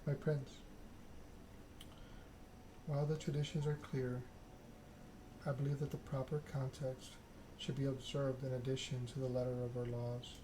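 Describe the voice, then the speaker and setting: A man's voice: medium pitch, flat delivery, measured pace, pauses often, lightly acted. A man reading the part of a poised, persuasive vampire woman, polite and careful in speech. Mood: diplomatic, composed. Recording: clear background noise.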